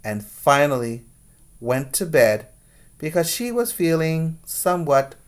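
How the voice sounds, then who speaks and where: A man reading a picture book aloud to young children as their teacher, with expressive, deliberate emphasis. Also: on the high side. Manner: slow, deliberate, with dramatic emphasis.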